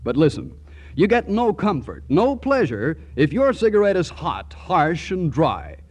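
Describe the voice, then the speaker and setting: Male announcer for vintage radio commercial: jovial, punchy, lively intonation on the higher side. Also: measured pace, slightly rough. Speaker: announcer pitching a cigarette sponsor. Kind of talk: 1940s radio advertising patter.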